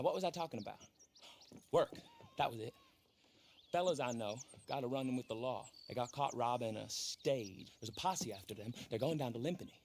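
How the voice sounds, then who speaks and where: A man's voice: fairly high pitch, slight background noise. An actor playing a brash, boastful fifteen-year-old boy gang boss in a video game. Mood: bossy. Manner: brash, bragging, bossy. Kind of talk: video game character acting.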